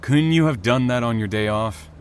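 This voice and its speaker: A man's voice: mid-range voice, moderate pace, clear, resonant tone, natural voice. A man playing a sober, rule-minded train engineer in an audio drama, reserved and businesslike. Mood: guarded. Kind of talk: naturalistic audio drama acting.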